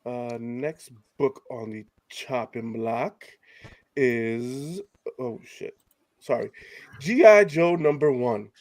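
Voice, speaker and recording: A man's voice: mid-range voice, slow, darkish tone, no room sound. Stuffed-up man hosting a podcast. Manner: laughing, casual, profane. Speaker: podcast host. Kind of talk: casual podcast chat.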